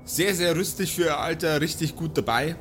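A man improvising narration as game master of a tabletop audio game, with theatrical delivery and deliberate pauses. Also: on the high side, a bit bright. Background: background music.

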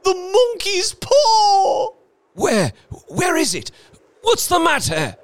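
A man's voice: very high voice, wildly swooping pitch, slow pace, dry recording, pitched above the performer's own voice, heavily acted. A man voicing a hysterical, grieving old woman in a storybook reading, crying out wildly and feverishly. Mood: wild panic.

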